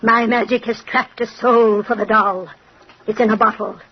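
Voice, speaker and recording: Mocking, wicked old fortune teller. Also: female voice, a little high, fairly even intonation, moderate pace, husky. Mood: sly, gleeful. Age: older. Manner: sardonic, taunting, theatrical. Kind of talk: old-time radio horror drama acting. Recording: muffled, noisy old radio recording.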